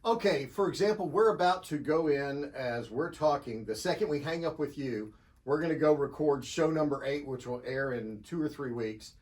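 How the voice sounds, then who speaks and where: A man's voice: a little high, normal speed, a little mellow. A man in his fifties, a country radio host, explaining things in casual interview chat with dry humor. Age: 50s.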